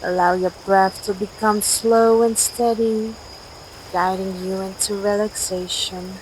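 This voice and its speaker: A woman guides a sleep meditation very slowly, with gentle, soothing instructions and long pauses. Her voice sits at a medium pitch for a woman. Crickets and outdoor night ambience are heard behind her. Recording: steady outdoor nature noise, crickets. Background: cricket and night insect ambience.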